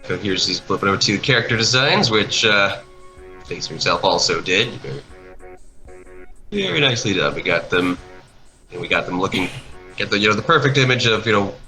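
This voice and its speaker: A man's voice: on the low side, expressive, brisk, pauses often, sharp tone, rough recording. A man giving casual, unscripted commentary, often trailing off and rephrasing mid-thought. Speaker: animation project creator co-hosting a speedpaint commentary. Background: background music.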